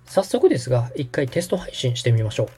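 A man's voice: mid-range voice, sing-song, fast talker, a little mellow, husky, soft background music. A man hosting a podcast as a polite instructor, explaining things step by step. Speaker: podcast host and radio director, giving instructions. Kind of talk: step-by-step app tutorial over background music.

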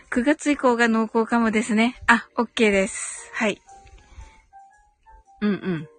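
A woman with a fairly high voice hosts a casual live chat over background music. She reads out comments and answers them politely, with many small agreeing murmurs. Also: no echo. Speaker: live audio stream host.

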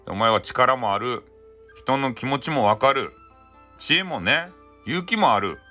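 A man voicing a caring, solemn old grandmother who speaks deliberately and emphatically in folksy Hakata dialect. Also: mid-range voice, lively intonation, sharp tone, faint music behind. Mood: encouraging.

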